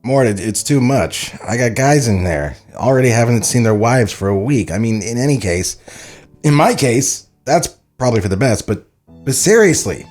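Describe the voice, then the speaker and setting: A man's voice: mid-range voice, animated, normal speed, darkish tone, soft background music, close to an everyday voice. A man at a script table read playing a friendly, casual, slightly sheepish animator. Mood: earnest protest.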